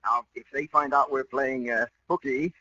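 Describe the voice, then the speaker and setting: Pompous, sharp-voiced lodge bigwig. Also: male voice, mid-range voice, steady tone, moderate pace. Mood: jovial, secretive. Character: blustery, secretive male lodge leader and real-estate boss. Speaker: actor in a radio comedy. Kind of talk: 1940s radio comedy acting. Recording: hissy, muffled old radio broadcast.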